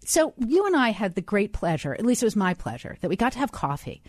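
Female interview host, mid-pitched, conversational presenting style, very dry studio-like sound. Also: very animated, fast talker, a little mellow, no echo. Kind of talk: conversational broadcast interview with scripted introduction.

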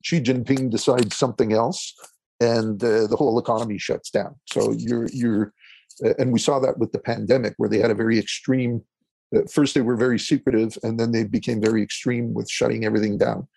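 Man from Eastern Ontario, French first language, gravelly, husky, dry sound, halting with fillers. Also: mid-range voice, steady tone, darkish tone, dry recording, poor audio. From Eastern Ontario, Canada. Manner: halting, many fillers and restarts. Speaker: guest, consultant. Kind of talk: conversational interview answers.

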